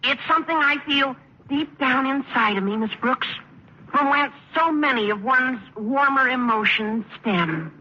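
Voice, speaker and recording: A man playing an earnest, gushing, tactless high school boy in a radio sitcom, with a cracking adolescent voice and flowery phrasing. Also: measured pace, sharp tone, heavily acted. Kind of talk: 1950s radio sitcom acting. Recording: dull, noisy vintage radio recording.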